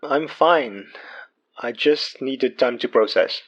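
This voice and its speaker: A man's voice: mid-range voice, takes it slowly, fairly bright tone, muffled recording. A man playing a withdrawn, secretly sinister character in an audio drama, brooding and hesitant. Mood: evasive, hesitant.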